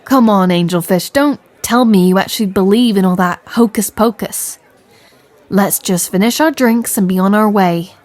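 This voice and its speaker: A woman acts as a gruff pirate captain in an audio roleplay, recorded close and dry. She has a medium-pitched voice and grumbles proudly, brushing everything off with brusque skepticism. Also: moderate pace, darkish tone, dry recording, some chatter behind, natural voice. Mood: scornful, grumbling. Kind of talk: character audio roleplay acting.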